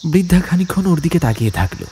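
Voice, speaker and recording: A man's voice: on the high side, quick pace, slight background noise. A man narrating a scripted ghost story as an audiobook, in a suspenseful, dramatic manner. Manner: suspenseful, expressive.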